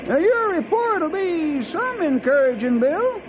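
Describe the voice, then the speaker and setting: An actor playing a cranky, folksy old ranch hand full of colorful frontier slang in an old-time radio adventure. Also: male voice, extremely high pitch, big pitch swings, a little slow, full character voice. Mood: grumbling, folksy. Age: older. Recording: muffled, noisy old radio recording.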